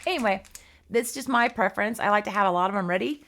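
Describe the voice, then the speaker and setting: Hoarse, chatty woman with a gravelly voice. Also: mid-range voice, fast talker, fairly bright tone. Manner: chatty, rambling, many ums and restarts. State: hoarse, losing her voice. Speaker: art teacher. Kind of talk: casual art tutorial.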